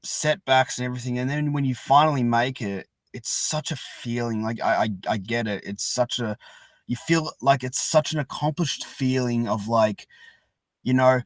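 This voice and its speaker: A man with a medium-pitched voice, recorded dry with no room sound. He hosts a casual conversation in a sympathetic, halting way, circling through his thoughts with plenty of filler phrases. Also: no echo. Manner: halting, sympathetic, leans on "like" and "you know". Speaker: podcast host. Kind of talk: casual supportive interview chat.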